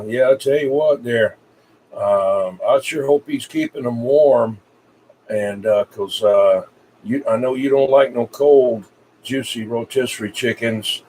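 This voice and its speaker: A man's voice: mid-range voice, darkish tone. A man with a Southern US accent rambles along in a folksy way, chatting casually as a radio operator. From South Carolina, United States. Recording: distant mic with background hiss.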